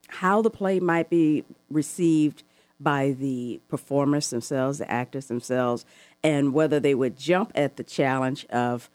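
A woman hosting a call-in radio talk show, asking long, thoughtful questions with soft laughs. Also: low voice, dry recording. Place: radio studio.

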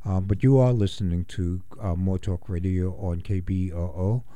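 A man hosting a community radio talk show, measured and hesitant with many ums. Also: deep voice, steady tone, dark tone, no room sound.

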